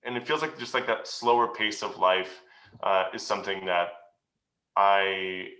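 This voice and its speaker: A man answering questions as a guest at a meeting, speaking in an earnest, reflective way with many pauses and restarts. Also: deep voice, fairly even intonation, bright, forceful tone. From United States. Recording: roomy echo.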